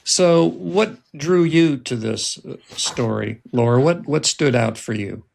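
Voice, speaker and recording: Male podcast host with creaky voice. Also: mid-range voice, lively intonation, slow pace, a little mellow, slight background noise. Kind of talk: conversational interview.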